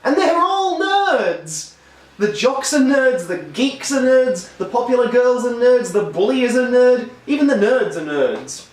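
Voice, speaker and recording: A man with a high voice that has gone hoarse delivers a wry, upbeat film review. He sounds set back from the microphone, and the room adds a noticeable echo. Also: sing-song, unhurried. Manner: wry, enthusiastic critique. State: hoarse, losing his voice. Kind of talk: scripted video review. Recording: roomy echo, distant mic.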